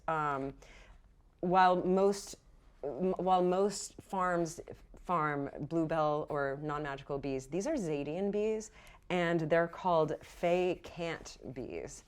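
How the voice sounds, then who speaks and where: A woman game master narrating and explaining rules off the cuff in an encouraging way. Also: fairly low pitch. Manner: encouraging, affirming.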